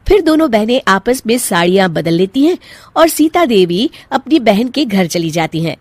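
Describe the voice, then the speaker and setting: A woman's voice: medium pitch, very animated, normal speed, a little mellow, no echo. A woman reading scripted narration for a cartoon family story.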